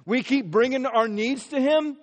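A man's voice: very high-pitched, normal speed, long reverb tail. A man from the Midwest, preaching an earnest sermon as pastor and often repeating words as he builds his phrases. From American Midwest.

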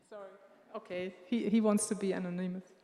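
A woman giving unscripted church announcements in a church sanctuary, halting and pausing often as she speaks into a microphone. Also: mid-range voice, mellow. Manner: halting, with many filler pauses. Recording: long reverb of a large hall.

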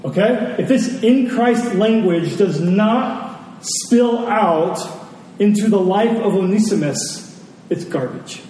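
A man preaching a sermon as a pastor, earnest and emphatic, pausing and repeating phrases for weight. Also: animated, mellow. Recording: echoey hall, distant mic, faint rumbling noise.

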